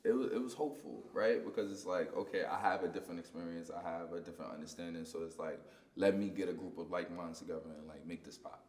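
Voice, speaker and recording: Young New poet, low voice, easygoing slangy guest in an echoey room. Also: male voice, steady tone, quick pace, darkish tone. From United States. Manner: laid-back slangy talk with frequent "like". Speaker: spoken word poet guest. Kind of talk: casual interview chat.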